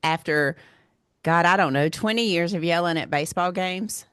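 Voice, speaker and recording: A woman hosts a relaxed podcast conversation in a husky, worn voice she puts down to years of yelling at ball games. Her tone sits a bit low, and the close mic sounds dry with no room around it. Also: moderate pace, no echo. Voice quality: husky, smoker-like voice. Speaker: podcast host. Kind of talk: casual chat.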